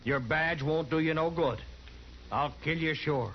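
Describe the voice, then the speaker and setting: An actor playing a jealous, threatening homesteader in a radio western, with rough rural frontier speech and a bullying manner. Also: male voice, a little high, unhurried, natural voice. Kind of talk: 1950s radio western acting. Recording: muffled, hissy 1950s radio recording.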